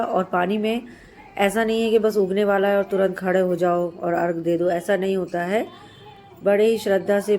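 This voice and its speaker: A hoarse woman in her thirties from central India, narrating a vlog outdoors on a rooftop and explaining the customs with devotion. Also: medium pitch, mellow. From Madhya Pradesh, India. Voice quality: husky. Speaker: vlogger.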